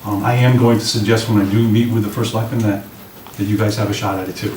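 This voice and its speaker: A man with a low, slightly raspy voice briefs a committee in a conversational, plain-spoken way. He is picked up from a distance in an echoey room, with noticeable noise behind him. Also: flat delivery, fast talker, a little mellow. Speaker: public works director. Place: indoor meeting room. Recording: roomy, distant mics with clear background noise.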